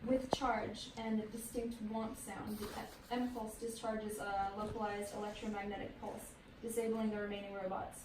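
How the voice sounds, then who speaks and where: A woman's voice: medium pitch, level tone, normal speed, close to an everyday voice. A woman reading as the narrator of superhero stage directions at a table read. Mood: neutral. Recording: echoey room, distant mic, clear background noise.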